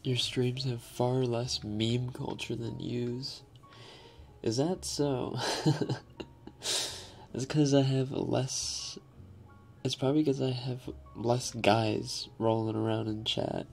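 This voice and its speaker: A young man hosting an ASMR live stream, answering chat in a soft, half-whispered, slightly raspy voice and laughing often. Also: medium pitch, flat delivery, slow, faint music behind. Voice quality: a little raspy.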